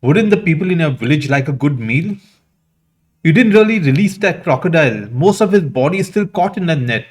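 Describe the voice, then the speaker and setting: Man putting on a clever, high-pitched rabbit. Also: animated, fairly quick. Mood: scheming. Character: clever, scheming, brisk little rabbit.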